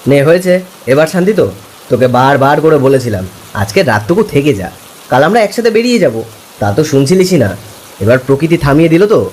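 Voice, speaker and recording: A man's voice: fairly high pitch, big pitch swings, fast talker, a little mellow, a little hiss or hum. A man playing a talkative, caring young friend in a cartoon story, chatty and familiar. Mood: chiding, familiar.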